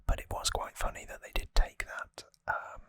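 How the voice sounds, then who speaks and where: A person whispering softly and breathily as an ASMR host, giving an unhurried, rambling recap with gently wry asides, close to the microphone. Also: dry recording.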